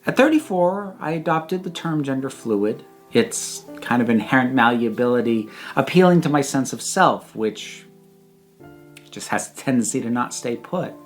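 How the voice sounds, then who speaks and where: A speaker in their thirties giving a prepared personal reflection, with measured, emphatic phrasing and deliberate pauses. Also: mid-range voice, expressive, faint music behind. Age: 30s.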